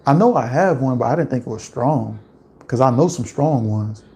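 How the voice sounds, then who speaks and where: Mellow Louisiana man chatting on stream. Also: medium pitch, normal speed, slight background noise. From Louisiana, USA. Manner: relaxed, chatty, rambling with many "like" fillers. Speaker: livestream host. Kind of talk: casual livestream chat reading viewer comments.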